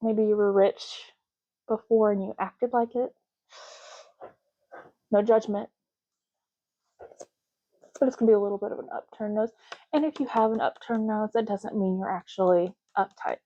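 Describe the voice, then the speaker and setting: A woman speaks softly in a mellow, relaxed voice with a medium pitch. She plays a clerk in an ASMR roleplay, casually explaining choices to the listener. The recording sounds slightly dull and lo-fi. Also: moderate pace, long pauses. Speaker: ASMR creator playing a clerk making ID cards. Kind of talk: soft-spoken ASMR roleplay.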